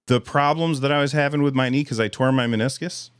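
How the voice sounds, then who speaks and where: A man hosting a live podcast in casual chat, a little hoarse, rambling and self-deprecating with frequent restarts. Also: medium pitch, fast talker, no room sound, studio quality. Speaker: podcast host.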